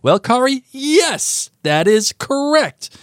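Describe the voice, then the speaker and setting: A man's voice: very high-pitched, slow pace, no room sound, clean studio sound. A man from Denmark co-hosting a fan podcast, reading listener emails aloud and joking between them. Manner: jokey, laughs often, animated reading. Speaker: podcast co-host. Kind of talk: casual chat, reading listener feedback aloud.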